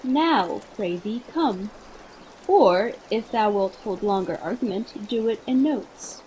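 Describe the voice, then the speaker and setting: A woman's voice: medium pitch, expressive, slow, darkish tone, slight background noise, poor audio. A woman playing a courtly, coaxing prince in a Shakespearean radio play, with theatrical delivery. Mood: teasing impatience. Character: Don Pedro, courtly prince coaxing a singer.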